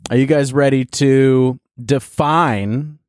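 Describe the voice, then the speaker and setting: A man's voice: mid-range voice, a little mellow, smooth, no echo, clean studio sound. A man hosting a casual podcast, teasing his friends and stretching words out for emphasis. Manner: playful, teasing, drawn-out emphasis. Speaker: podcast host. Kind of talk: casual banter.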